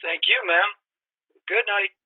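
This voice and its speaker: A man's voice: sits high, very animated, slow pace, a little hiss or hum, full character voice. A man playing a courteous, formal telegram clerk in a radio play, reading officiously over a telephone line. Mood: businesslike, courteous. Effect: telephone filter. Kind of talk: 1940s-style radio play acting. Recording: tinny, narrow telephone line.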